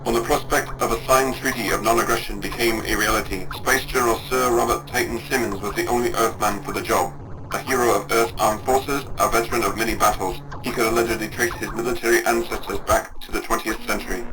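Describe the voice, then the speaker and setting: A man reading scripted narration like a history book, in a measured, formal manner. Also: lowish voice, fairly even intonation, noisy background. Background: music.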